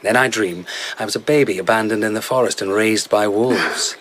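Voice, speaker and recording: A man's voice: on the low side, normal speed, fairly bright tone, a little hiss or hum, lightly acted. A man in a radio drama playing a dreamy, hard-drinking vagabond who tells lyrical, rambling tales as a royal favourite. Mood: wistful, teasing.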